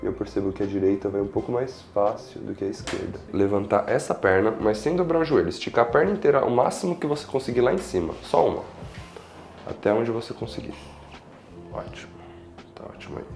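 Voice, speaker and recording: Casting: young Brazilian male chiropractor, low gravelly voice, reassuring, guiding a patient in a roomy space. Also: expressive, fairly quick, a little mellow, a little hiss or hum. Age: young adult. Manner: reassuring, checking in often. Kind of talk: instructing a patient during treatment, explaining to camera. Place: small indoor treatment room with large windows. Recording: roomy echo, faint music behind.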